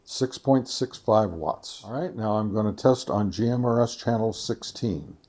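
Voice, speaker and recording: A man hosting a casual product test, talking in a methodical, halting way and reading out figures deliberately. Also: fairly low pitch, darkish tone. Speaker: video host, radio reviewer.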